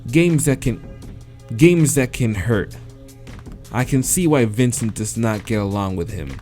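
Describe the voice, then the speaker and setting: A man's voice: mid-range voice, animated, a little slow, darkish tone. A man reading a visual novel aloud, breaking off to swear and laugh, with game music behind. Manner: swears and laughs between read lines. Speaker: let's play reader.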